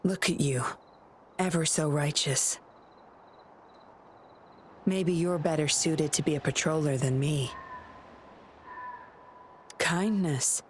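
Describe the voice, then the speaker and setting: An actress playing a cool, teasing woman agent with a secretive, measured manner in a video game. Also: low-pitched, slight background noise. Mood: wry, calm.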